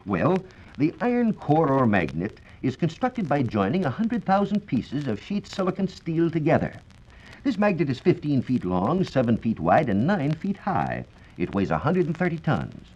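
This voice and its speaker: A man's voice: a little high, wildly swooping pitch, normal speed, darkish tone, slightly rough. An American man giving a formal, scripted explanation as a science reporter on 1940s radio. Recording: dull, lo-fi 1940s radio recording.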